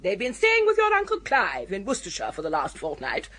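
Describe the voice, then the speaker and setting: Imperious English aunt, husky edge. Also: female voice, medium pitch, huge pitch swings, a little fast, a bit bright. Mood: commanding. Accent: upper-class English. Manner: imperious, scolding. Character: stern, domineering upper-class English aunt. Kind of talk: radio comedy drama acting.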